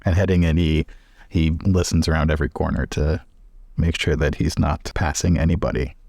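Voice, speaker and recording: A man improvising narration for a tabletop game in a halting, deliberate way, repeating phrases as he goes. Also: extremely low pitch, fairly even intonation, creaky, a little mellow, dry recording.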